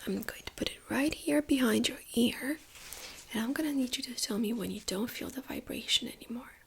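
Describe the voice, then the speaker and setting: A woman speaking in a breathy whisper, gently and reassuringly, as a doctor in an ASMR medical exam role-play. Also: a little high, slight background noise. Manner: gentle, reassuring, unhurried clinical explaining.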